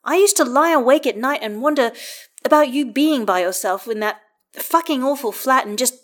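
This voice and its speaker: A woman voicing a blunt, loyal woman who swears freely and speaks earnestly, higher and louder than her narration. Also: expressive, normal speed. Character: warm, blunt, tough-talking woman, fiercely loyal best friend.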